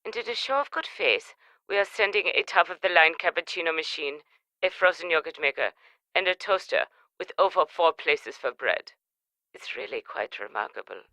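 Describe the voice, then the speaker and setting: A woman playing a smug, formal German corporate shareholder in a comedy audio drama, brisk and clipped. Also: lowish voice, animated, sharp tone, no echo. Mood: formal, pleased.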